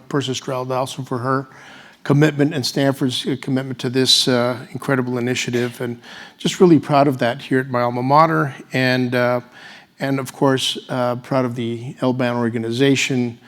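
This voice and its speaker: A man hosting a panel, speaking semi-formally and off the cuff in a halting way with restarts. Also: medium pitch, flat delivery. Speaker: panel moderator and host.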